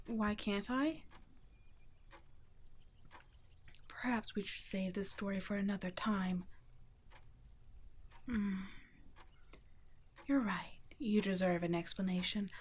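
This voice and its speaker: A woman playing a gentle, protective vampire caretaker in an intimate ASMR roleplay, speaking softly and breathily, often nearly whispering. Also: medium pitch, slight background noise, natural voice. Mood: wistful, confiding. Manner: soft, breathy, tender, often dropping to a whisper.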